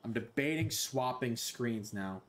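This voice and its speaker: A man's voice: mid-range voice, expressive, a little slow. Young man streaming, joking and swearing. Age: young adult. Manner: loose, joking, swears a lot, talks to chat. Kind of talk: casual gaming stream chat. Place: small bedroom. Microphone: headset.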